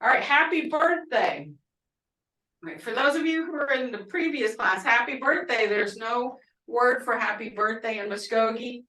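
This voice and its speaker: A woman's voice: fairly high pitch, normal speed, sharp tone. Woman, Oklahoma twang, chatty teacher, roomy distant call audio. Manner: chatty, laughing often, storytelling asides. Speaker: language instructor. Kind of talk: casual online class teaching. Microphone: video call.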